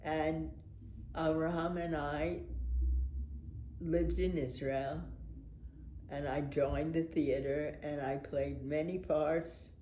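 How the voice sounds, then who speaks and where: Older American actress, slow-paced animated storyteller with frequent laughs, roomy echoey sound. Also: female voice, sits low, slight background noise. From United States. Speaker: veteran stage actress. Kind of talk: reminiscing documentary interview.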